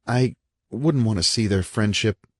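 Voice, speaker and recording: A man's voice: fairly low pitch, a little slow, no echo, pitched above the performer's own voice. A man voicing an apologetic, bewildered husband in an audiobook, earnest and uneasy in manner.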